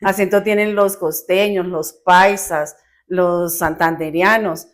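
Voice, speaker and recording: Una mujer de Bogotá, con acento colombiano, conversa de forma animada y espontánea como invitada, riendo con facilidad. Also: female voice, on the low side, slow pace. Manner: chatty, animated, laughs easily, self-correcting. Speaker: guest. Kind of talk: casual chat.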